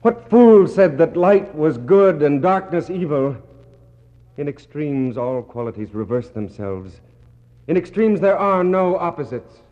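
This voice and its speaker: A man acting a despairing British naval captain in a 1960s radio play, declaiming in anguished, bitter soliloquy that swings between hushed prayer and outcry. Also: on the high side, lively intonation, measured pace. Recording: dull, noisy 1960s radio recording.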